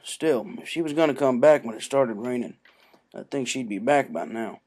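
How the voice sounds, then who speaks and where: A man's voice: mid-range voice, normal speed, a little mellow, lightly acted. A big, laconic male farm pony from a storybook , read by a man. He talks in a folksy, matter-of-fact way, close to an everyday male voice.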